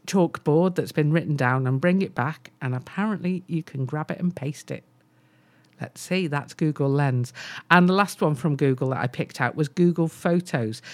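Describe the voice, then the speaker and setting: A woman co-hosting a business podcast, explaining tech features enthusiastically and thinking aloud with restarts. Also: sits low. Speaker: co-host. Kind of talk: casual business podcast chat.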